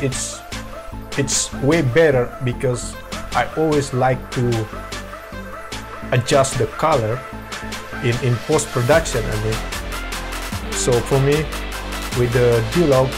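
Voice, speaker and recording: A young man whose first language is Indonesian, talking through his editing in a casual, halting home tutorial, often correcting himself. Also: medium pitch. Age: young adult. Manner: halting, self-correcting, apologetic. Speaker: drone video creator. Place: small room at home, washing machine running.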